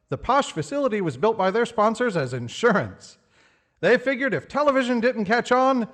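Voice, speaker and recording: A man playing a genial 1940s radio comedy announcer with a theatrical, scripted delivery. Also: high-pitched. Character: old-time radio comedy show announcer, genial pitchman.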